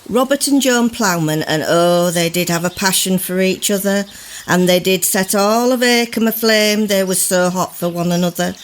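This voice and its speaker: A woman's voice: on the low side, a little hiss or hum, natural voice. A woman playing a sanctimonious village gossip of olden times, confiding and insinuating in an archaic radio drama monologue. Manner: confiding, mock-pious, insinuating.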